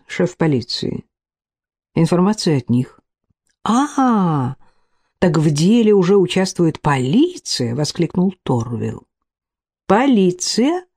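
Woman reading a novel aloud, slow and steady, warm mellow voice. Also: lowish voice. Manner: unhurried, reads all characters in her own voice. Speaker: audiobook narrator. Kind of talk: scripted audiobook narration.

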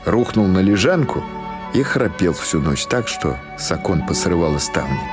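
A man's voice: deep voice, big pitch swings, moderate pace, music in the background. A man reading literary prose as a scripted audiobook narration.

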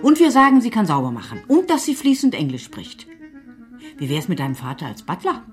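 No-nonsense foster mum, middle-aged, very lively pitch, radio play with faint music. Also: female voice. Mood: practical. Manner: brisk, matter-of-fact, admonishing. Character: brisk, practical, strict but caring English foster mother. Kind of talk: German radio drama acting.

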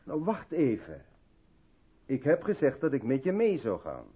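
Radio drama, male role: brusque, hesitant loner absorbed in work, husky tone, lively pitch movement. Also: unhurried, phone-quality sound, heavily acted. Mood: defensive, brusque. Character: brusque, reluctant mixed-race South African man, absorbed in work. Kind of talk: 1970s Dutch radio drama acting.

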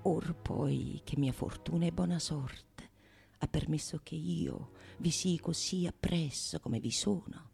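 A woman's voice: deep voice, slow pace, creaky, warm tone, soft background music, full character voice. A woman playing a devoted male suitor, pleading breathily and intensely in flowery theatrical language. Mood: pleading, amorous. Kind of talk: theatrical monologue of Renaissance comedy.

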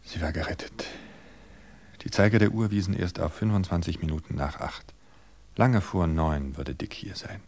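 A man reading a suspense story aloud in a steady, measured narration. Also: low-pitched. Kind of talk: scripted audiobook narration.

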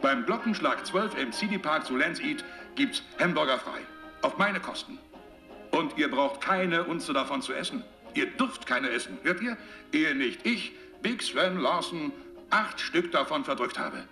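An actor playing a big, boastful American burger boss making a folksy, pompous sales address in a radio drama. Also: male voice, on the high side, animated, measured pace, sharp tone, exaggerated voice. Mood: boastful, rousing. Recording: echoey taped local radio spot with music. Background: music.

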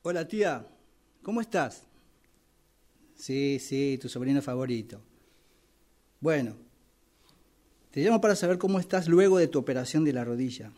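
A man acts out a dutiful, patient nephew on a radio play phone call. He has a mellow, mid-pitched voice. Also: expressive, moderate pace, pauses often, lightly acted. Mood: cheerful. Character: dutiful nephew patiently phoning his hard-of-hearing aunt. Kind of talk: radio drama phone monologue.

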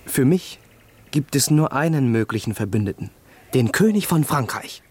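An actor playing a proud, defiant young Prussian crown prince in a radio play, speaking formally and with haughty emphasis. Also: male voice, medium pitch, expressive, moderate pace, darkish tone, breathy edge. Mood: admiring. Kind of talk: German radio play acting.

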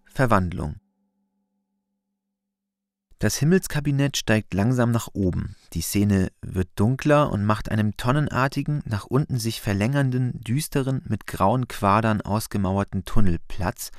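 A man narrating a radio play, reading stage directions in a measured, literary delivery. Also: medium pitch, no echo, studio quality.

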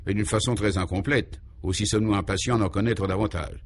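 A man's voice: lowish voice, steady tone, a little fast, slight background noise, lightly acted. A man voicing a naive, eager male reporter with a plain, matter-of-fact manner in a radio comedy.

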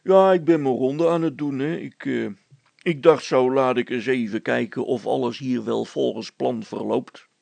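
A man's voice: medium pitch, normal speed, vocal fry, darkish tone, no echo. A man voicing a blustering, scheming village constable in a storybook, hesitating and stammering as he talks. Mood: flustered, servile. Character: scheming village constable, blustering, flustered before his superior.

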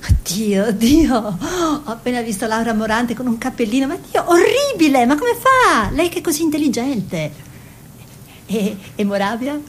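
An impulsive artist wife speaks in a fairly high voice with theatrical feeling. She is gossipy and tenderly scolding, in a stage-style radio drama with faint noise and rumble behind her. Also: very animated, moderate pace. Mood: gossipy, giddy. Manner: theatrical, shifting between exclamations and soft musing.

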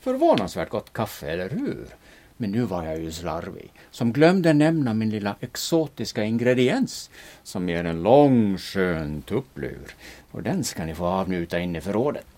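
A man's voice: on the low side, sing-song, a little mellow, slight background noise. A performer voicing a grumpy old Finnish man with a flask, easily startled, speaking Swedish with a Finnish lilt. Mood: sly.

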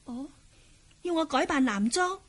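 Casting: young Cantonese swordswoman, high voice, polite and spirited, radio drama delivery. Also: expressive, unhurried. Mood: earnest, dutiful.

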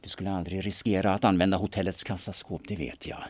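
Man playing a low-voiced, shifty schemer. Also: steady tone. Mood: sneaking, scheming. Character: shifty Stockholm auction man, sneaking and scheming. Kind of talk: audio drama acting with muttered inner monologue.

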